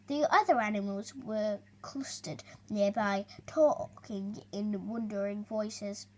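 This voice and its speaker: A young girl reading a children's storybook aloud, expressive but halting, with small stumbles and chatty asides. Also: medium pitch. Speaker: young reader hosting a story video.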